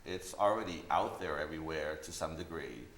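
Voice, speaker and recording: Deep-voiced man chatting in a roomy space. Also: brisk, a bit bright, slight background noise. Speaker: visual artist being interviewed. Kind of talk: casual conversational interview.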